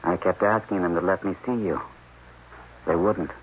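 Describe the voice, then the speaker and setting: An actor playing an embittered, fatalistic scientist held captive, speaking emphatically in a 1980s radio drama. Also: male voice, low-pitched, steady tone, moderate pace, close to an everyday voice. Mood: grim, hushed. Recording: dull, noisy old radio broadcast.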